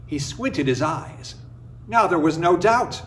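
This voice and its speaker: Animated high-pitched male storyteller. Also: slow pace, far from the mic, a little hiss or hum. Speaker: storyteller, reader. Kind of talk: expressive scripted folktale narration.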